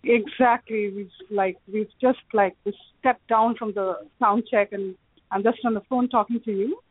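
A woman's voice: mid-range voice, steady tone, normal speed, slightly rough, no echo. A woman, a singer answering interview questions by phone, eager but hesitant with fillers. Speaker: singer, guest. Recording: tinny phone line.

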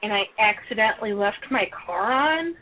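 A woman's voice: medium pitch, lively intonation, moderate pace, a little hiss or hum. A woman from Illinois co-hosting a podcast, chatting in an emphatic, talkative way. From Illinois, USA. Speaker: podcast co-host. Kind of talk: casual chat. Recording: tinny phone-like call audio.

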